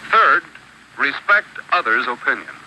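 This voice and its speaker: A man narrating an old instructional film in a measured, instructive way, setting out rules one by one. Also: mid-range voice, very animated, bright, forceful tone, slight background noise, rough recording. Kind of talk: scripted educational narration. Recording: old archival film soundtrack.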